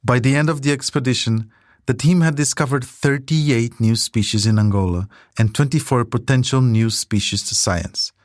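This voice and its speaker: A man's voice: medium pitch, normal speed, no room sound, clean studio sound. A man narrating a scripted documentary podcast as its storyteller.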